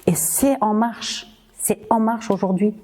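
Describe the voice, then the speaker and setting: A woman's voice: medium pitch, a little slow, dark tone. A Rwandan-born woman hosting a podcast, speaking earnestly and rallying her listeners, with frequent hesitations and repeated words. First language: Kinyarwanda. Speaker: podcast host. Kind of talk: impassioned podcast monologue.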